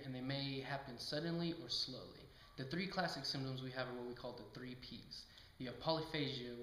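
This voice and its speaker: Мужчина играет вежливого доктора, яркий голос, гулко. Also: male voice, medium pitch, sharp tone, natural voice. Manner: explanatory, polite. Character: helpful, patient male doctor guest in puppet show. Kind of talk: amateur scripted health explanation. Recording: echoey room, noticeable background noise.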